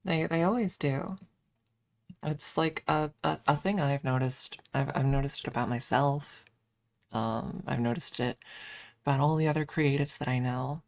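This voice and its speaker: A woman streamer chatting casually in a soft, hesitant ASMR style with playful self-deprecation, close to the microphone. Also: sits low, normal speed, no echo. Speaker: ASMR livestreamer. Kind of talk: ASMR, casual rambling chat.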